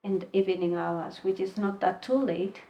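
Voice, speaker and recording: A woman in her twenties from Kenya, speaking English as a second language, telling her personal story as a podcast guest in a soft, halting voice. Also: mid-range voice, steady tone, dull audio. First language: a language other than English. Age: 20s. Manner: soft-spoken, halting, plain wording. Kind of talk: personal testimony in conversation.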